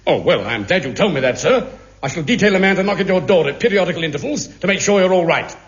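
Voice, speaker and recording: An actor with a British accent playing an unflappable, bureaucratic police sergeant in a 1950s radio comedy. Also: male voice, high-pitched, lively intonation, fast. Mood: dry. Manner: dry, officious, politely unhelpful. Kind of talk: 1950s radio sitcom acting. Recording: muffled hissy 1950s radio broadcast.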